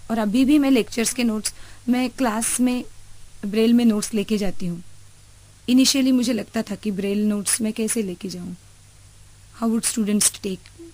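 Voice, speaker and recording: A woman's voice: mid-range voice, dark tone, poor audio. An Indian woman answering interview questions reflectively, switching between two languages as she speaks. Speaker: interview guest, teacher. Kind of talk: reflective interview answers mixing Hindi and English.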